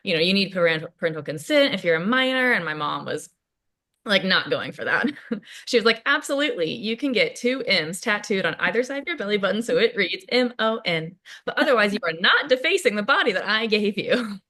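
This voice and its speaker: A woman playing a chatty, self-deprecating, awkward college student in a casual, naturalistic play reading, halting and rambling as she goes. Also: mid-range voice, expressive, sharp tone. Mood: embarrassed, chatty. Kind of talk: table read of a play, naturalistic casual acting.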